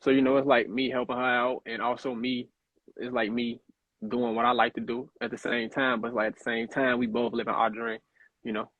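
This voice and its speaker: A man's voice: medium pitch, little pitch movement, fairly quick, fairly bright tone, no echo. A young man from Chicago, an actor guest in a casual interview, talking in a laid-back, rambling way full of fillers over a video call. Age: young adult. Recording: tinny phone-like line.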